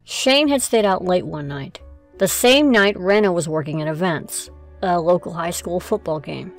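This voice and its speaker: A woman's voice: fairly low pitch, moderate pace, faint music behind. A woman narrating a scripted audio drama as its storyteller.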